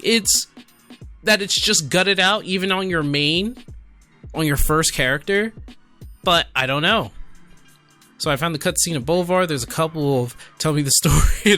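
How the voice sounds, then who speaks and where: A man's voice: on the high side, pushed and bright. A tipsy man giving casual, rambling reaction commentary as a streamer, exclaiming and addressing viewers directly. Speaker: streamer and gaming video host.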